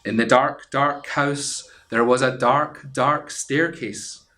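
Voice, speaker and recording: A man reading a children's picture book aloud as a teacher would, expressive and suspenseful, drawing out repeated phrases. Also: medium pitch. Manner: theatrical, suspenseful repetition with drawn-out phrases. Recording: clear background noise.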